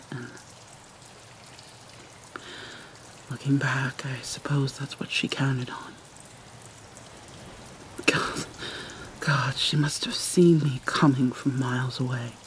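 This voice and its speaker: A woman voicing a guarded, wounded vampire mermaid in an ASMR roleplay, speaking in a hesitant, halting, hushed and often breathy way. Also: low voice, a little hiss or hum. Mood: wistful, bitter. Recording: rain and water sounds behind. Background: rain and pouring water.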